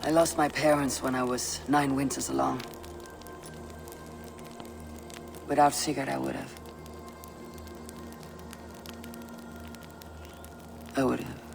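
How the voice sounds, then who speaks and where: A man's voice: mid-range voice, flat delivery, a little fast, gravelly, music in the background. A man playing a resolute, earnest young Viking leader in a video game. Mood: sympathetic. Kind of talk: cinematic video game dialogue acting.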